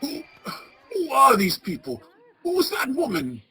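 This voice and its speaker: A woman playing Scrooge, a shaken old male miser, in a radio play, with a husky voice. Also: sits high, wildly swooping pitch, measured pace, bright, forceful tone, exaggerated voice. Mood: awed, humbled.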